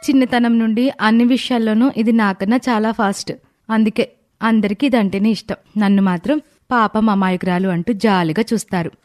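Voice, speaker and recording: A woman's voice: mid-range voice, fairly even intonation, brisk, darkish tone, close to an everyday voice. A woman voicing young female family members in dramatized dialogue for a moral story. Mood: resentful. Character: young sisters and mother in a family tale.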